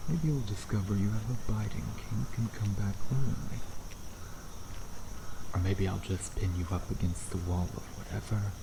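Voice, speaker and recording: A low-voiced, sardonic male vampire, acted by a man for an ASMR roleplay. His delivery is gravelly and unhurried, teasing with a predatory edge. Night insects sound clearly behind him. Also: background noise. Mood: dry, taunting, then menacing, seductive. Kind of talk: ASMR roleplay, intimate scripted acting.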